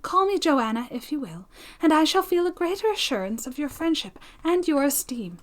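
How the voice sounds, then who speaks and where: A woman voicing an anxious young woman in love, with a breathy, emotional, pleading delivery, in an audiobook reading. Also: high voice, big pitch swings, normal speed, voice pushed up. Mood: gentle.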